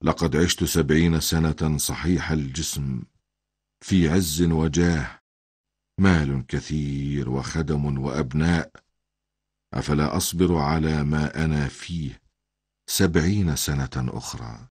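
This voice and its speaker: A man voicing a patient, devout, suffering old prophet in a cartoon, solemn and formal, with a deep husky voice. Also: a little slow, darkish tone, long reverb tail, full character voice. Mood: steadfast.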